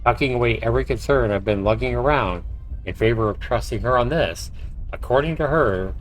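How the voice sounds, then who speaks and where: A man's voice: mid-range voice, fairly quick, poor audio. A man livestreaming a visual novel, reading the narration aloud like a storyteller and dropping in short asides. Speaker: livestreamer, game player. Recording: faint music behind the voice. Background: faint game music.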